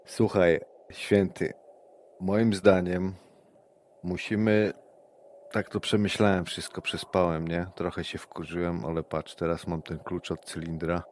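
Deep-voiced guy scheming in character, rambly, online rpg with faint hiss. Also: flat delivery, measured pace, darkish tone. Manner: rambling, conspiratorial, peppered with "wiesz" and "nie?". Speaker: tabletop game player. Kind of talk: in-character roleplay, casual and scheming. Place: home, online session. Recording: online session audio with faint noise.

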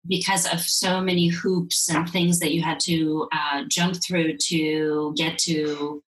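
A woman who grew up in Ukraine speaking Russian, her voice sitting a little low. She talks in a measured, thoughtful way, often restarting her phrases. She is heard over a video call from a room at home. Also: flat delivery, low-quality recording. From Ukraine, then Soviet Union. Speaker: guest, sex and intimacy coach. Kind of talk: candid interview.

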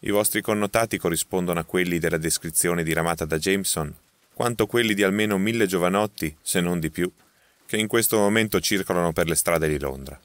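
A man voicing a calm, phlegmatic detective who speaks in an unhurried, reassuring way. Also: low-pitched, no echo.

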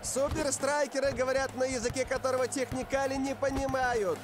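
A man's voice: very high voice, level tone, music in the background. An actor playing an exuberant, easily amazed older male football commentator in a cartoon. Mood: excited. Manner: exuberant, drawn-out exclamations.

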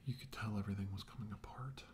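Man acting middle-aged sci-fi doctor, weary and shaken, dark mellow voice, halting, half-whispered ASMR roleplay, noisy background. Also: fairly low pitch, natural voice. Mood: somber, brooding. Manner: halting, brooding, often dropping to a whisper. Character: weary, shaken male doctor recounting a battle with aliens. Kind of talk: slow ASMR roleplay monologue, soft and partly whispered.

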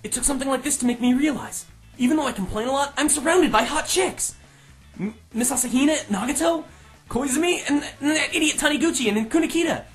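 A woman's voice: high-pitched, fast talker, faint music behind, lightly acted. A woman voicing an earnest, complaining teenage schoolboy in comic anime fan dub outtakes.

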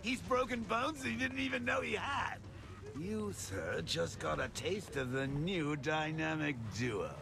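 An actor playing a mocking, gloating costumed fighter in a video game, with showy delivery. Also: male voice, a little high, a little slow, creaky, noisy background. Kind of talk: scripted video game acting.

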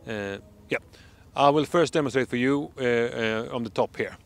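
Swedish-accented middle-aged man, mid-pitched, eager product pitch with fillers, outdoors at night, faint music behind. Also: vocal fry. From Sweden. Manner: enthusiastic salesman, halting with fillers. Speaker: product presenter. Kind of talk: product demonstration vlog. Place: dark countryside road at night, outdoors.

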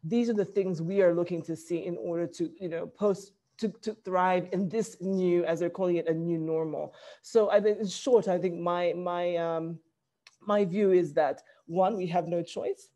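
Mellow Zambian woman on a panel. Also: on the low side, fairly even intonation, normal speed. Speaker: small business owner, webinar panelist. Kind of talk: prepared panel remarks, conversational. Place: small office, indoors. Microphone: headset on a video call.

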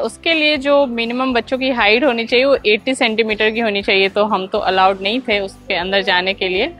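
A woman's voice: on the high side, a bit bright. Chatty woman vlogging outdoors. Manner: chatty, explaining to viewers with frequent "uh" pauses. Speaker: travel vlogger. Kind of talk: casual travel vlog narration. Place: outdoor theme park. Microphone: clip-on lapel mic.

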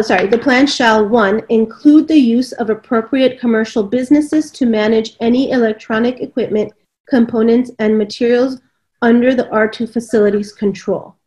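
A woman's voice: on the high side, steady tone, moderate pace, darkish tone. A woman in her thirties on a video call, reading requirements aloud and commenting on them. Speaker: consultant co-host. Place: indoor kitchen at home. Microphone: video call computer microphone.

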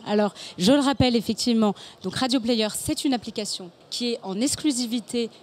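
A woman's voice: on the high side, fairly quick. A woman, hosting a promotional interview on a handheld microphone. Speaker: interviewer and host. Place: exhibition studio stand at a motor show. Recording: clear background ambience noise.

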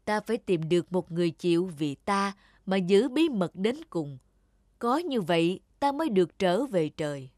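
A woman's voice: mid-range voice, expressive, normal speed, darkish tone, dry recording, studio quality, close to an everyday voice. A woman voicing a solemn celestial fairy who speaks in formal declarations.

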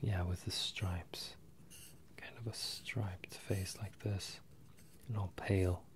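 A man in his twenties softly whispering and murmuring a halting ASMR roleplay as an apologetic wizard professor, close to the microphones. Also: level tone. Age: 20s. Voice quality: soft, breathy whispering and low murmuring. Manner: hesitant, halting, in-character delivery as a wizard professor. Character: bemused, apologetic wizard professor addressing a student. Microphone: close stereo microphones, ear to ear.

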